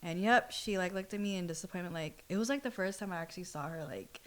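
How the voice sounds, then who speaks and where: A young adult woman, telling personal stories in a casual, animated, slangy way. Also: fairly low pitch, fast, crowd noise. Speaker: podcast guest. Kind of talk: casual chat, personal storytelling.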